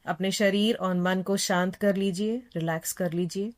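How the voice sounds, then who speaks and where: A woman yoga instructor leading a guided meditation in a calm, unhurried, soothing manner, repeating phrases gently. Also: mid-range voice.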